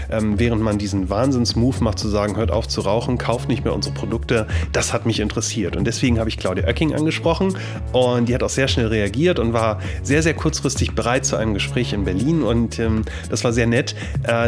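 A man hosting a podcast, talking casually with lots of filler sounds while he has a cold. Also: medium pitch. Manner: chatty, many filler sounds. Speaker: podcast host. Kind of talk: casual podcast intro and interview.

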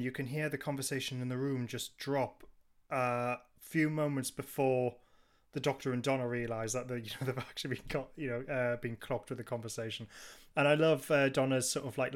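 Guy chatting on a podcast, average pitch, casual, kinda hesitant and repeats bits. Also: steady tone, brisk. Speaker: podcast co-host. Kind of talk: casual chat.

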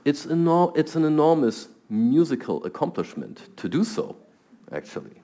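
A man's voice: on the high side, big pitch swings, normal speed, dark tone. A middle-aged man from Germany with a German accent, a scientist answering interview questions thoughtfully and often repeating words as he starts. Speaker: guest scientist.

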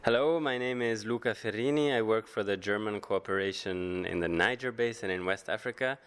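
A man's voice: on the low side, fairly quick, a bit bright, clean tone. A man working in development aid, giving a cheerful, fluent wish. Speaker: development worker.

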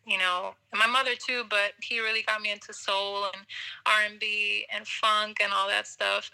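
Young female musician guest from Puerto Rico, bright voice, easygoing and quick to laugh. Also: mid-range voice, vocal fry, rough recording. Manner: relaxed, laughs often, talks with fillers. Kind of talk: casual interview conversation.

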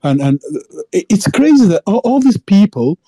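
Halting, dark-voiced caller man. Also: high-pitched, very animated, breathy edge, no echo. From Africa. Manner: halting, many repeated words and restarts. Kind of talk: impassioned phone-in opinion.

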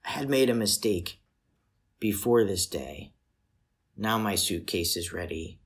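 A man giving a literary poetry reading in a measured, solemn manner, with deliberate pauses between short phrases. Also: lowish voice, lively intonation, husky. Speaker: poetry reader.

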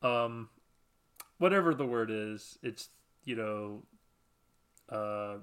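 A man's voice: fairly low pitch, expressive, slow, a bit bright. A man co-hosting a podcast, chatting casually in a rambling, self-deprecating way and laughing often. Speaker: podcast co-host. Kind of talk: casual chat.

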